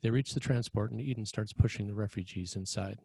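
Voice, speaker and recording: A man with a low, husky voice narrates a screenplay. He reads scene directions as scripted narration, and the sound is dry and close. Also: a little fast, warm tone, dry recording. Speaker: narrator reading stage directions.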